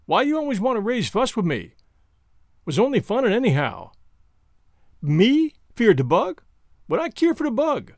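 A fearful yet stubborn old servant from a storybook, acted out by a man. The pitch is high and swings about in animated fashion. The speech is folksy dialect with dropped words and exclaimed protests, recorded very dry. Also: normal speed, long pauses, dry recording, exaggerated voice. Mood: indignant, scared. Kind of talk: audiobook character voice.

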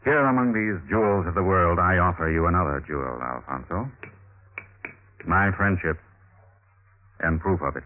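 An actor playing a suave, scheming Renaissance nobleman in a vintage radio drama, with theatrical, deliberate phrasing and an air of cool menace. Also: deep voice, close to an everyday voice. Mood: suave, challenging. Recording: narrow-band old radio recording with music.

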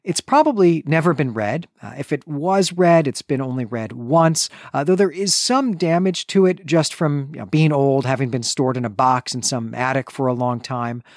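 A man's voice: mid-range voice, moderate pace, few pauses, no room sound, clean studio sound. A man co-hosting a book discussion podcast, talking through a novel casually with fillers and restarts. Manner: relaxed, thinking aloud with fillers and restarts. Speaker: podcast co-host.

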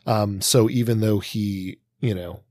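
Low-pitched man thinking aloud. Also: steady tone, measured pace, darkish tone, no room sound. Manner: hesitant, thinking aloud, frequent hedging and filler words. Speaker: podcast co-host. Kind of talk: casual discussion of a comic.

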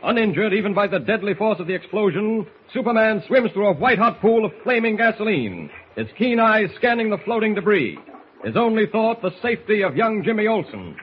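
A man's voice: sits high, big pitch swings, brisk. A man narrating a 1940s radio serial in an urgent, melodramatic style. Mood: urgent, dramatic. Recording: muffled, noisy old radio recording.

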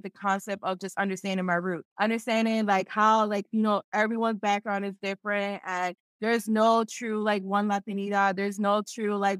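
A woman's voice: mid-range voice, no room sound. Young woman from Philly, podcast guest, chatty, lots of "like", dry close sound. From Philadelphia, USA. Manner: animated, laughs often, lots of filler "like". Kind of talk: casual podcast interview.

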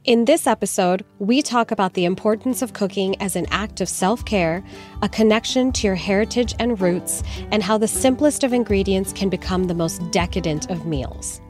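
A woman's voice: mid-range voice, moderate pace, darkish tone, faint music behind. Upbeat female podcast host. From Canada. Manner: upbeat, friendly, laughs easily. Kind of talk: scripted podcast intro, then casual interview.

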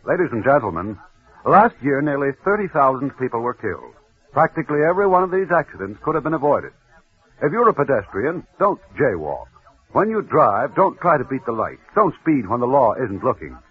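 A man's voice: medium pitch, sing-song, a little fast, husky. An American man as a 1940s radio announcer, reading formally and emphatically. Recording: muffled, lo-fi 1940s radio broadcast.

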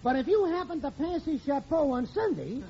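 Wisecracking busybody neighbor, very animated, high voice, slangy, vintage radio comedy, muffled faintly noisy sound. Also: male voice, normal speed, vocal fry, heavily acted. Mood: gleefully ominous. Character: nosy wisecracking neighbor husband, gleefully alarmist. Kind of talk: 1940s radio comedy acting.

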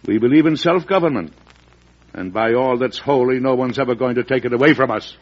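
An actor playing a fatherly, principled early American president in old-time radio drama, speaking with measured earnestness that turns oratorical when roused. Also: mid-range voice, close to an everyday voice. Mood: rousing conviction. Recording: dull, noisy vintage radio recording.

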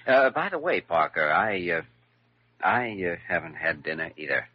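An actor in an old radio drama playing an earnest, considerate young doctor with a mild manner, on a muffled, noisy recording. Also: male voice, sits low, very animated, normal speed, breathy edge, no room sound, full character voice. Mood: easygoing.

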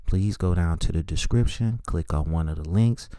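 A man delivers solo sports commentary as a podcast host. His voice sits low, with a dark, mellow tone. He talks casually and conversationally while giving his opinions, and the sound is very dry, with almost no room in it. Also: normal speed, no room sound.

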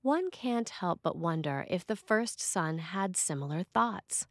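A woman's voice: lowish voice, animated, measured pace, dry recording. Woman reading a sermon, dry close sound. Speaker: priest reading a written sermon. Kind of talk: scripted sermon reading for a podcast.

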